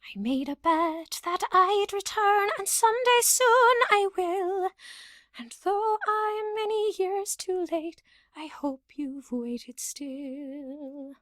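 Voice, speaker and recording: A woman singing a slow folk ballad. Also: very high voice, fairly bright tone, dry recording, clean studio sound. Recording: music behind the voice. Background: music.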